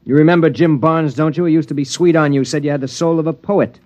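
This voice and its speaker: An actor playing a brusque, impatient husband in a 1950s radio drama. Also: male voice, a little high, fast, a little mellow, lightly acted. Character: stern, calculating husband. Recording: dull old radio broadcast.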